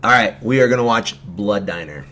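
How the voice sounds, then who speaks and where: A man's voice: fairly low pitch, lively intonation, a little fast, sharp tone. A man hosting a film watch-along stream, talking in a casual, jokey, informal way. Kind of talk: casual chat and film commentary.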